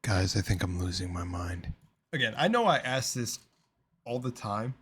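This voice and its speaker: A young man with a slight lisp, hosting a casual reaction video, rambling with lots of fillers and jumping between loud bursts and quiet mumbles. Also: lowish voice, very animated, normal speed, noisy background.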